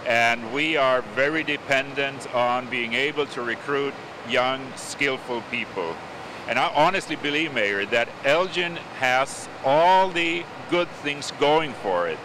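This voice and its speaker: A man's voice: mid-range voice, unhurried. Man CEO from Sweden, earnest and plain, noisy outdoors. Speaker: company CEO. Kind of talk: casual interview talk.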